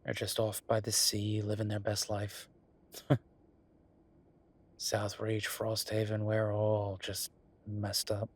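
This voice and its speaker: Man acting a husky, easygoing werewolf boyfriend. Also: on the low side, monotone, slow pace, pauses often. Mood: wry, resigned.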